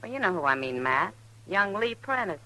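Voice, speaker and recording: A woman's voice: medium pitch, very animated, moderate pace, bright, forceful tone, no echo, rough recording. An actress playing a worldly, frank and chatty saloon woman in an old-time radio Western. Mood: relaxed, chatty. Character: worldly, sociable frontier saloon woman, plain-spoken.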